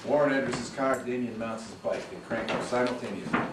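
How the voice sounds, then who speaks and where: A man's voice: medium pitch, fast talker. A man reading the stage directions at a screenplay table read, heard from a distance in an echoey, noisy room.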